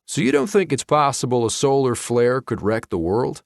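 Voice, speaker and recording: A man's voice: mid-range voice, sing-song, moderate pace, creaky, no room sound, clean studio sound, voice pushed up. A man voicing a laid-back, teasing Southern best friend with a drawl in an audiobook. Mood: playful. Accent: American Southern.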